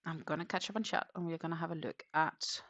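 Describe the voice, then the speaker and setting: A woman's voice: deep voice, steady tone, fast, fairly bright tone, dry recording. A woman hosting a crochet livestream, chatting casually with many ums and muttering her stitches as she works. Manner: chatty, rambling, with frequent ums and half-muttered stitch counting.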